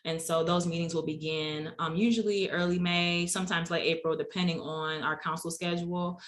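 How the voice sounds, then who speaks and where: A middle-aged woman, a city council member, answering interview questions in a measured, explanatory way. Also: on the low side, fairly even intonation. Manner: measured, explanatory, uses fillers.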